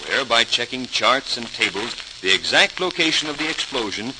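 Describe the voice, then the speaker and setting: An actor playing an eager, earnest grown son in old-time radio drama, explaining things with enthusiasm. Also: male voice, mid-range voice, expressive, moderate pace, close to an everyday voice. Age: young adult. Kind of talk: 1940s radio drama acting. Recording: noisy, crackling old radio transcription.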